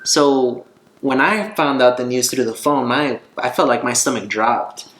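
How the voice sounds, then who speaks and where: A man's voice: medium pitch, unhurried, a bit bright. A man vlogging in a casual, conversational and earnest way, telling a personal story. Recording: roomy echo, faint guitar music behind.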